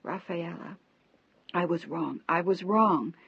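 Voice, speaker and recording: A woman voicing an aging stern father who speaks low, soft and austere, in a dry, slightly dull recording. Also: takes it slowly, husky, dry recording, full character voice.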